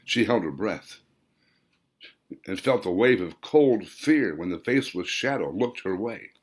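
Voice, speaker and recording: A lower-pitched male narrator reads fiction as a dramatic audiobook. His pitch moves widely as he goes. He phrases deliberately, stretching key words for emphasis. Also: animated. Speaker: author reading own novel.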